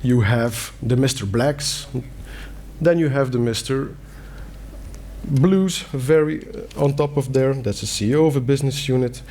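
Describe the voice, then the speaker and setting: A man's voice: mid-range voice, measured pace, darkish tone, slight background noise. A middle-aged man from Belgium, a native Dutch speaker with a Belgian Dutch accent, giving an earnest conference talk in plain words with fillers and restarts. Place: conference hall.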